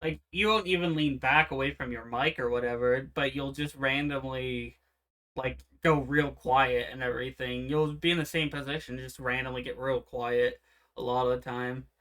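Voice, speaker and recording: Bright quiet-voiced man, casual podcaster. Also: medium pitch, moderate pace. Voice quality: naturally quiet voice. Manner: explains game rules in a relaxed, meandering way. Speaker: podcast co-host. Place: home room with fan noise removed by software.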